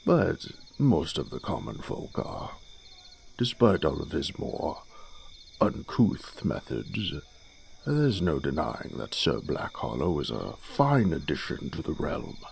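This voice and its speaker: A man acts out an older noble father in a fantasy audio drama, avuncular and dignified. The voice is rumbling and very low, gravelly and unhurried. Faint cricket-like noise runs behind it. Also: very animated, heavily acted. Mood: proud, jovial. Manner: stately, avuncular, measured.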